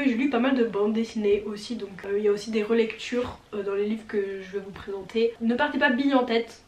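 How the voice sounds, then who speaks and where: A young woman chatting casually as a book vlogger, reviewing her reads with frequent hesitations and self-corrections. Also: fairly high pitch. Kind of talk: casual reading review to camera. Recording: echoey room.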